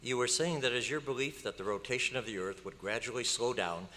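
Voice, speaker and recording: A man's voice: mid-range voice, quick pace, sharp tone, slight background noise, close to an everyday voice. An actor playing a polite, curious, slightly wry young newspaperman in a live recreation of an old radio drama. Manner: courteous, inquisitive, lightly wry. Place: large hotel meeting room at a convention. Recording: echoey hall, live stage performance.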